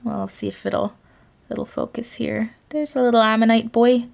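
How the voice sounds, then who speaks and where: A woman's voice: on the high side, fairly even intonation, normal speed, vocal fry, a little mellow. Chatty young woman rambling over a sketchbook. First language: English. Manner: rambling, chatty, many fillers. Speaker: artist showing her sketchbook. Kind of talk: casual sketchbook flip-through chat.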